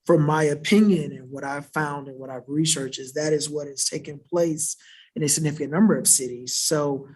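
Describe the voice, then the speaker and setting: A man's voice: a little high, steady tone, moderate pace, darkish tone, slightly rough. A man from South Florida on a church panel, answering an audience question in an earnest, explanatory way and often adding a questioning "right?" to his points. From South Florida, USA. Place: church worship center.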